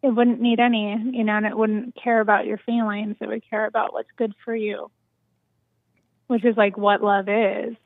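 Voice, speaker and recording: A woman's voice: medium pitch, flat delivery, gravelly, no room sound. American woman caller on a tinny phone line. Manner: blunt and judgmental, measured. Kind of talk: phone call-in chat.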